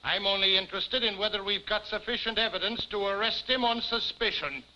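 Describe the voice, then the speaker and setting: An actor playing a blustering, pompous small-town police chief in 1950s television comedy style. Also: male voice, high-pitched, brisk, pushed and bright. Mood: officious. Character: blustering, officious small-town male police chief, sweet-talking, cowardly. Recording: muffled, hissy 1950s television soundtrack.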